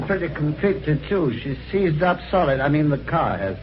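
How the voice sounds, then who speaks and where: An actor playing a genial, chatty British gentleman telling his adventure in an old-time radio drama, with polite, jaunty, conversational phrasing. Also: a little high, expressive, normal speed, natural voice. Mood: helpful, exerted. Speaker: narrator and lead character. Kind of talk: old-time radio drama, first-person narration and dialogue. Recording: muffled, noisy vintage radio recording.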